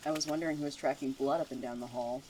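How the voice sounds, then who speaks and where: Low female voice, acted, smug student, mocking, cryptic, theatrical needling, noisy ambient backdrop. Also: steady tone, quick pace, mellow. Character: taunting, smug, cryptic student who claims visions. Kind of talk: audio drama acting.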